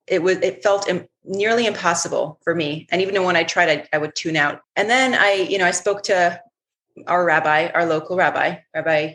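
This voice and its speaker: A woman's voice: on the low side, fairly bright tone, a little hiss or hum. A woman co-hosting a podcast, speaking reflectively and searching for her words in casual conversation. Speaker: podcast co-host.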